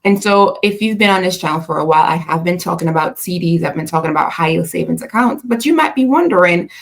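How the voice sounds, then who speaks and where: A woman's voice: fairly low pitch, animated, quick pace, gravelly. A woman with a Jamaican accent, hosting a casual, chatty finance explainer with frequent fillers and self-corrections. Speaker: personal finance channel host. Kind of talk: casual explainer talking to camera.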